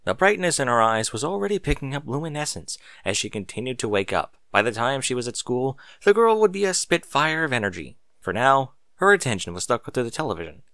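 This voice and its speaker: A man reading scripted fiction as an audiobook narrator, with expressive storytelling. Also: mid-range voice, brisk, hardly any pauses, dry recording, studio quality.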